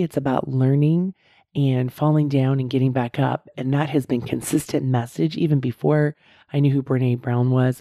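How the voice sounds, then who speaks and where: Middle-aged female podcast host, hoarse and husky, low mellow tone, close dry mic, earnest coaching delivery. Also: dry recording. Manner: earnest, encouraging, repeats key points slowly. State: hoarse, strained voice. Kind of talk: casual solo podcast talk.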